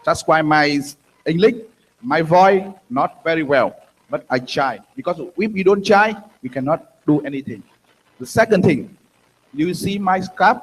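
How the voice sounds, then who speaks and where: Vietnamese-accented man giving halting testimony. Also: on the high side, lively intonation, breathy edge, low-quality recording. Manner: halting, earnest, simple grammar. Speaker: guest speaker, former prisoner. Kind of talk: testimony in broken English. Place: dark conference hall stage. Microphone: handheld mic.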